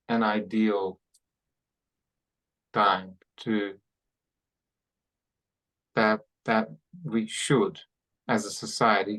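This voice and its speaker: A man's voice: lowish voice, a bit bright, low-quality recording. A man hosting an interview, asking long questions and often repeating words as he searches for them. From Hungary. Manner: halting, repeats words while forming questions. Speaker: podcast host.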